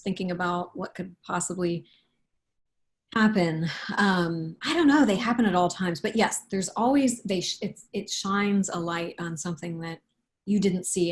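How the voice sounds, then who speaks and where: A woman speaking as an author in a casual interview, thoughtful and halting, often restarting and rewording her sentences. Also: lowish voice. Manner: halting, thoughtful, with repeated self-corrections. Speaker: picture book author being interviewed.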